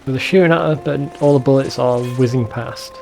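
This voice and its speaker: A man's voice: medium pitch, fairly quick, gravelly, a little mellow, faint music behind. A man giving casual, sweary commentary over a video game in an informal, bemused way. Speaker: let's play video host.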